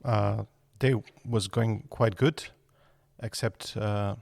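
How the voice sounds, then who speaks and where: Brief: male guest in his fifties, slow and halting, gravelly low voice, dry close sound. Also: steady tone, darkish tone, no room sound. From Serbia. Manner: hesitant, frequent fillers. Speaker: guest architect. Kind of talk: podcast interview.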